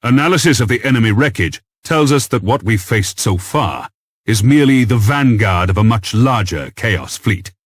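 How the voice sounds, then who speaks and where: An actor playing a stern, authoritative naval lord admiral in a video game, briefing in a formal military manner. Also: male voice, fairly low pitch, expressive, normal speed, husky, no echo, clean studio sound.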